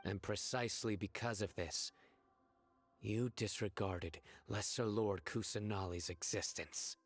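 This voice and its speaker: Stoic righteous judge, lowish voice, grave, deliberate, commanding, dramatic anime game acting. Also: male voice, fairly even intonation. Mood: cold, accusing. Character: stern, righteous, stoic male judge and enforcer.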